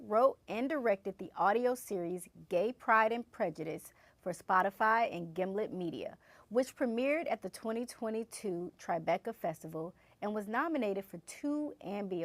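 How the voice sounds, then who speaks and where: A woman with a mid-pitched voice delivers scripted announcements as an event host. Her delivery is polished and presentational, and the sound is dry, with hardly any room in it. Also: animated, normal speed, no echo. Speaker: event host introducing a keynote speaker.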